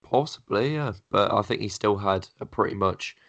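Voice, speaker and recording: A young man hosting a casual podcast, a little croaky from being ill, talking in a relaxed way with lots of fillers. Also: lowish voice, moderate pace, no echo. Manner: relaxed, filler-heavy, easygoing. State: croaky from illness. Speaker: podcast host. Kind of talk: casual chat. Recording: dull lo-fi audio.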